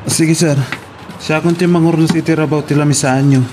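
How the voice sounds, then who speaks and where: A man's voice: on the high side, fairly even intonation, a little fast, background noise, lightly acted. A man acting a deferential employee in a radio drama, with an Ilocano accent. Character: deferential male employee serving his boss.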